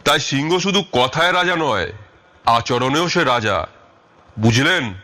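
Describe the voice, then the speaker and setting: A man as a calm, confident detective. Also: medium pitch, slow pace. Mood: instructive. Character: calm, confident, commanding male Bengali detective. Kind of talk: audio story character acting.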